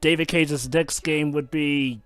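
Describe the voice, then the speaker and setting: A man's voice: fairly high pitch, a little slow, smooth, dry recording, faint music behind. A man cracking jokes and theories while commentating on a video game with friends. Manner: animated and wisecracking. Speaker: let's play co-commentator.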